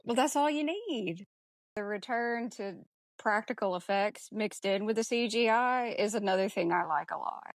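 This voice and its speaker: A woman talks casually as a podcast co-host, in a voice of average pitch. Her manner is blunt and a little salty, as in easy chat about movies. The sound is dry, with little room around it. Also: moderate pace, no room sound. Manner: blunt, salty with mild swearing. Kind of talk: casual film discussion.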